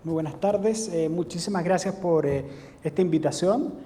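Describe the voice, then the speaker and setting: A man with a Chilean accent giving an academic lecture as a guest speaker, hesitant and pausing often with filler sounds. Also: fairly high pitch, mellow, slight background noise. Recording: reverberant hall.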